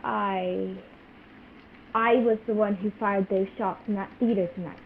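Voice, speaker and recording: A woman playing a frightened, secretive spy on the run in a radio play, pleading and urgent in halting phrases. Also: mid-range voice, fairly even intonation, lightly acted. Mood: anxious confession. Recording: tinny phone-like video call, faint noise.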